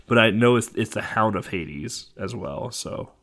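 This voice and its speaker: A man with a lowish voice talks through a retro game boss fight in a loose, rambling way. He fills gaps with ums and breaks into laughs often. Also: animated, measured pace, creaky, fairly bright tone. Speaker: game commentator. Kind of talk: casual retro game playthrough chat.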